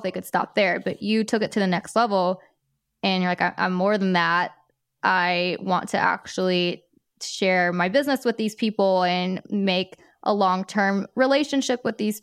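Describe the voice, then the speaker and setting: Casting note: a woman host and publicist, chatty and affirming, smooth voice, dry close sound. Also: medium pitch, steady tone, moderate pace, no echo. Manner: chatty, affirming, laughs easily. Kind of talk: casual interview.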